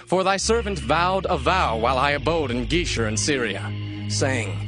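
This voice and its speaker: A man playing an ambitious, smooth-talking young prince in a dramatized Bible recording, with fervent, declamatory delivery. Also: mid-range voice, expressive, normal speed, over music, natural voice. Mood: pleading. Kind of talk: dramatized Bible audio acting.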